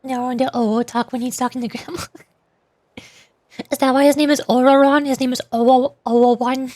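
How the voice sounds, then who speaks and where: A woman's voice: sits high, little pitch movement, moderate pace, darkish tone, dry recording, clean studio sound. A woman streamer chatting casually through a game, often tripping over her words and correcting herself. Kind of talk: casual game commentary and voice acting.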